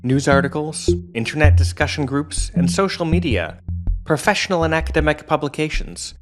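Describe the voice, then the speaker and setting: A man playing a measured, informative narrator explaining police work in a scripted audio drama. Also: a little high, animated, faint music behind, lightly acted. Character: matter-of-fact male law enforcement explainer.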